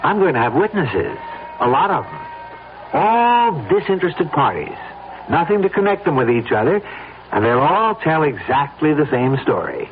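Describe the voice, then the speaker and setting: An actor playing a smooth-talking, insistent man who plots and persuades in a radio drama. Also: on the high side, very animated, normal speed. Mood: eagerly persuasive. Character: scheming, persuasive man plotting a staged fatal accident. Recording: lo-fi phone-like radio broadcast, background noise.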